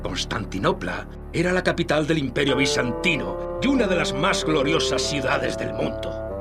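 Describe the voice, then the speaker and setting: A man's voice: medium pitch, natural voice. Man acting a solemn old nobleman narrator, music behind. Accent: Castilian Spanish. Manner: measured, solemn storytelling. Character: old exiled German nobleman recounting history, wry and grave. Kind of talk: dramatic scripted narration of a history campaign.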